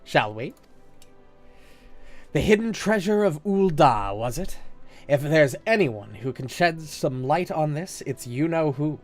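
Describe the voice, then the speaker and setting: A man's voice: fairly high pitch, expressive, a little slow, faint music behind. A man with a slight cold reading game lines aloud as a wry, talkative male newsman, in a plain voice close to his own. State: slight cold, a little congested.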